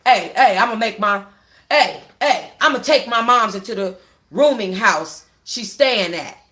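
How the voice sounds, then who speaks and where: A woman's voice: medium pitch, animated, a little slow, a bit bright. A woman voicing a brash young boyfriend, slangy, offhand and evasive, in a story read aloud. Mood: casual.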